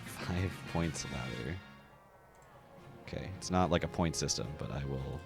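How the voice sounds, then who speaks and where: Low-pitched man chatting on a stream. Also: a little slow, gravelly, over music. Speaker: livestream host. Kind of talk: casual game stream chat.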